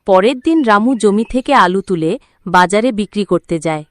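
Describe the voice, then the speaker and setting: A woman's voice: medium pitch, animated, moderate pace, no room sound. A woman narrating a cartoon folk story in a scripted storybook style.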